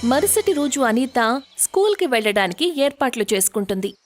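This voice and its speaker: A woman narrates a moral story in a fairly high voice. She reads scripted storytelling lines, with music playing underneath. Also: sing-song, brisk.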